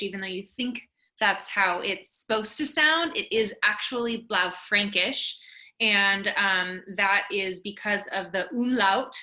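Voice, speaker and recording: A woman hosts a virtual wine tasting over a video call. She has a mid-pitched voice with a bright, forward tone. She shares informative notes in a friendly way, with frequent ums. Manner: friendly, informative, many fillers.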